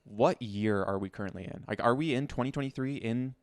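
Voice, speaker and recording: A man's voice: mid-range voice, brisk, no room sound, studio quality. A man co-hosting a podcast in casual debate, informal and agreeable, thinking aloud as he talks. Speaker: podcast co-host.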